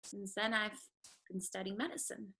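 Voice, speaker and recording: A woman's voice: medium pitch, level tone, moderate pace, sharp tone, slightly rough, rough recording. A woman acting a kind, headstrong young lady of a wealthy house, sheltered and earnest, in a play reading.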